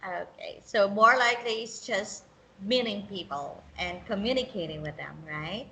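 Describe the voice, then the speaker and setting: A woman's voice: mid-range voice, normal speed, sharp tone, low-quality recording. A woman interviewing a contestant in English, chatty and encouraging, often laughing. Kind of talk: interview questions, casual.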